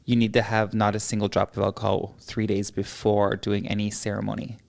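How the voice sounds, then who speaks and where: A woman's voice: extremely low pitch, flat delivery, a little fast, creaky, a little mellow. A woman hosting a casual podcast interview, teasing and joking with her guest and laughing often. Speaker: podcast host.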